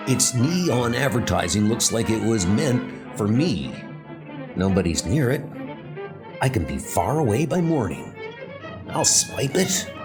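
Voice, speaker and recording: Cocky scheming convict voiced by a man, slangy tough talk, slow delivery, music bed. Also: lowish voice, full character voice.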